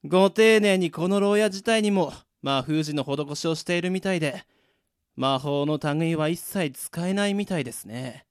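A man's voice: fairly high pitch, normal speed, clean tone, no echo, studio quality, close to an everyday voice. A man playing a proud, sardonic demon beast in an anime-style radio drama, polite, composed and condescending. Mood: resigned.

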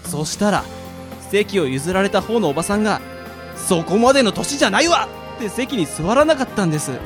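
Brief: female actor for an earnest, courteous young-colleague hand strap, mid-pitched, radio comedy with music behind. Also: expressive, a little fast, lightly acted. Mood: flustered storytelling. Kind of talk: comic amateur radio drama acting.

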